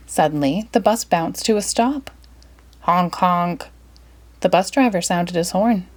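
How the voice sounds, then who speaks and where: A woman reading a children's picture book aloud in an expressive, dramatic storytelling style. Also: fairly low pitch, normal speed. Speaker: storybook reader.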